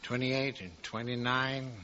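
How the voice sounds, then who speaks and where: A man's voice: medium pitch, level tone, measured pace, pushed and bright, dull audio. An actor playing an irascible, patronizing rich old businessman in a 1940s radio drama. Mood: smugly coaxing. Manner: patronizing, blustering. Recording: noisy, band-limited 1940s radio recording.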